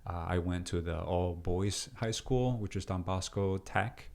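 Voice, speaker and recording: Very deep-voiced man, reflective. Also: measured pace, creaky, darkish tone. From Peru. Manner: reflective, many fillers and restarts. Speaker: deacon and seminarian, interview guest. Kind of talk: casual interview conversation.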